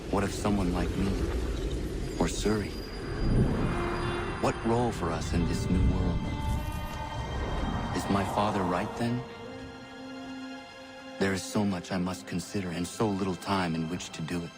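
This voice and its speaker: A low, solemn young idealist in a video game drama. He chooses formal, deliberate words and speaks with grave earnestness, with music playing underneath. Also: fairly even intonation. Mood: brooding, reflective. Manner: formal, deliberate phrasing without contractions. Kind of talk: dramatic game cutscene acting and narration.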